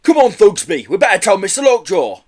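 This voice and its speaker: Guy putting on rough henchman in a kids book, loud n blurting, startled, voice pushed up high. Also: big pitch swings, brisk.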